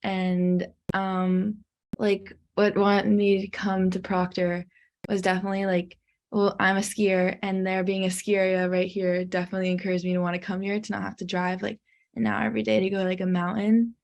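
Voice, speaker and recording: Teen girl student: flat, gravelly voice, "like"-heavy rambling. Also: mid-range voice, moderate pace, muffled recording. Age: teens. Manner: rambling, says "like" often. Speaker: student panelist. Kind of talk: casual chat.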